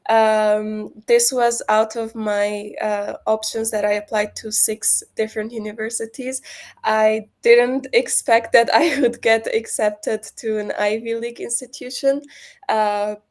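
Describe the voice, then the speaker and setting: A woman's voice: fairly high pitch, flat delivery, a little slow, a little mellow. A young woman from Lebanon speaking as a guest on a video-call webinar, chatting casually with many fillers and restarts. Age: young adult. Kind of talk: webinar interview.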